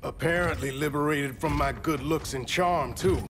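An actor voicing a heroic, loyal young cyborg in a video game, casual, wry and confident. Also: male voice, on the high side, normal speed, natural voice. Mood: defiant wisecracking. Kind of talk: video game cutscene acting. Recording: music behind the voice.

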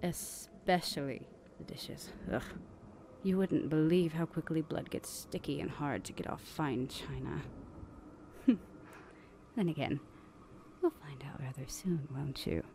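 A woman playing a dominant older vampire sister in an ASMR roleplay, breathy and languid with dry teasing. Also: low voice, a little slow, long pauses, mellow, slight background noise. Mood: wry, teasing.

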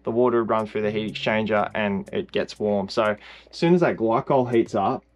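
A young Australian man chatting in a relaxed, laid-back way as he explains parts of the caravan. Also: fairly low pitch, moderate pace. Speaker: vlogger, co-host. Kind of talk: casual chat. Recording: faint music under the talk.

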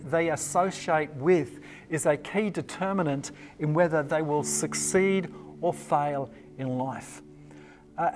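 Australian man preaching casually, slightly high voice. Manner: conversational, relaxed preaching with frequent fillers. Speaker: pastor. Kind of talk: recorded online sermon. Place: small indoor set with warm lights. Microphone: lapel mic.

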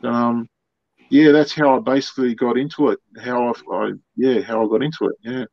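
A man's voice: mid-range voice, normal speed, creaky. A man, chatting informally as a guest on a video call. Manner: informal, often adds "you know". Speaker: podcast guest. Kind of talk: casual chat. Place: indoor workshop on a video call. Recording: dull, dry video-call audio.

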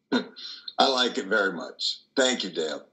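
An older man acts out a kindly priest in a stage drama, with a lowish, bright voice. He counsels gently, in measured, reassuring phrases with small hesitations. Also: animated, heavily acted. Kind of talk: dramatic play reading. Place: indoor wood-trimmed room on a video call.

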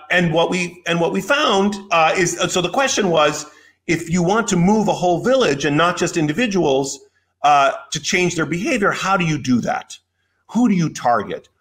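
An older man lectures from a home office over a webcam, explaining ideas step by step in a measured way. His voice sits a little high for a man, and he pauses on fillers and restarts as he thinks aloud. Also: a bit bright. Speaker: keynote speaker. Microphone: video call webcam.

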